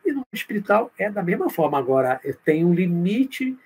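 A man from Olinda, explaining spiritual ideas in a live talk and answering viewer comments, often checking in with a quick "right?". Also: high-pitched, very animated, darkish tone, slightly rough. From Brazil, born in Olinda, Pernambuco. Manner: explanatory, tag questions, reads comments aloud then answers.

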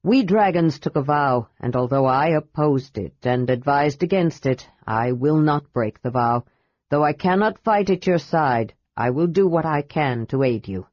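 A woman voicing a grave, wise old male gold dragon who speaks slowly and sternly. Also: sits low, lively intonation, darkish tone, dry recording, lo-fi sound, voice pushed down. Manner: stern, grave, slow and measured.

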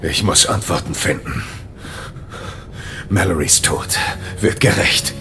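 An actor playing a grieving, brooding knight with intense delivery in a game drama. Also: male voice, low-pitched, slow pace, background noise. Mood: vengeful, determined. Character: grieving, brooding male knight bent on answers.

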